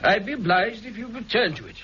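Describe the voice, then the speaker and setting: An actor playing a stern, brusque colonel who gives orders, in a 1960s radio drama, muffled old broadcast. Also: male voice, high-pitched, expressive, fast talker, bright, forceful tone, slight background noise. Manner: commanding, brusque.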